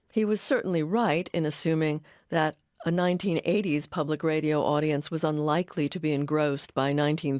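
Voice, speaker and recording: A woman's voice: deep voice, a little mellow, dry recording, studio quality. A woman hosting a documentary, reading scripted narration.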